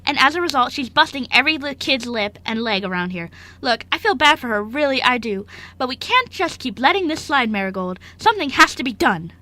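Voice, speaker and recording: A woman's voice: high-pitched, very animated, brisk, sharp tone, heavily acted. A woman playing an exasperated, stern orphanage nurse in an amateur radio play, curt and sardonic.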